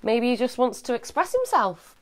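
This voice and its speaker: Loud, animated woman in her thirties. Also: a little high, normal speed. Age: 30s. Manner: animated, loud, jumps between topics. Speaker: advice show host. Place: at home, walking through a child's room.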